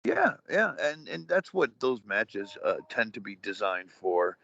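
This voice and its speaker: A man's voice: lowish voice, sing-song, measured pace, gravelly, sharp tone. A man in his forties chatting casually on a podcast, rambling with lots of fillers and wrestling slang. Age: 40s. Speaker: guest, retired wrestler. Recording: dull lo-fi podcast audio, dry.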